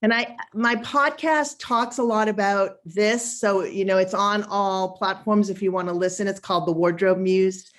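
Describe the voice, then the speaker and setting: A woman's voice: medium pitch, steady tone, normal speed, hardly any pauses. A woman with a Boston accent speaks as a wardrobe stylist on a video call. Her delivery is chatty and emphatic, and she laughs easily. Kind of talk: casual live webinar talk.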